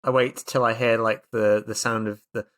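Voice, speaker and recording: A man playing a tabletop roleplay, casually narrating his character's actions in a halting, playful way. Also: on the low side, level tone, a bit bright. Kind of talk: improvised first-person game narration, casual.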